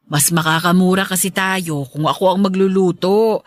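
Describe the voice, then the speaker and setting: A woman's voice: fairly low pitch, steady tone, normal speed, dry recording, close to an everyday voice. Woman acting as insistent, protective aging Filipino mother. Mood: caring. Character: protective, frugal, aging mother, affectionately stubborn. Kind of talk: Filipino radio drama acting.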